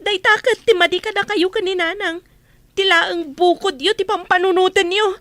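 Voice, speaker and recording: Actress as resentful eldest daughter, young, very high bright voice, plaintive, reproachful, radio drama. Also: female voice, fairly even intonation, normal speed, no room sound.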